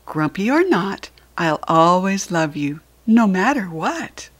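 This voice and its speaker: A patient storybook parent fox, voiced by a woman, speaking slowly and tenderly. The words are measured and gentle, with expressive rises and falls meant to comfort a child. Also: fairly low pitch, exaggerated voice. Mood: soothing.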